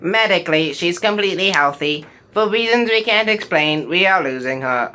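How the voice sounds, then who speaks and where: Man voicing a grave, bright-toned medical robot. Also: high-pitched, animated, moderate pace, voice pushed up. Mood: clinical, grave. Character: grave medical robot delivering clinical news. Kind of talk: parody audio play acting.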